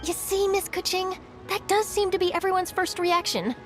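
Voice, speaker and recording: An actress playing a breezy, confident young journalist in an anime-style game, chatty and lightly teasing. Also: female voice, very high voice, steady tone, moderate pace, over music.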